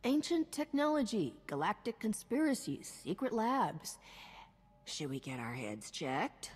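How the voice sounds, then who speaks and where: Inquisitive game heroine: mid-pitched, animated, direct, in a reverberant hall with music behind. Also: female voice, measured pace, husky. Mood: questioning. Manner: direct, questioning. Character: self-possessed, inquisitive female sci-fi hero, direct. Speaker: player hero character. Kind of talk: video game cutscene acting.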